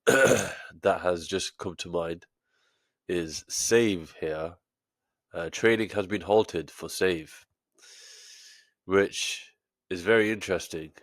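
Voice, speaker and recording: A man's voice: deep voice, a bit bright, no room sound. A man with a stuffy nose from a cold, explaining an options trade step by step in a screen-share tutorial, with frequent fillers. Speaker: trading educator.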